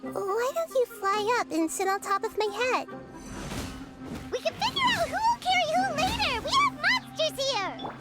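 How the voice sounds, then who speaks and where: A woman voicing a small, cheerful, excitable fairy companion in a video game, with a squeaky childlike voice and eager exclamations. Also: moderate pace. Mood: excited. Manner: speaks of self in third person, exclaims eagerly. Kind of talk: video game character acting. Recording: clean voice under loud music. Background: loud game music.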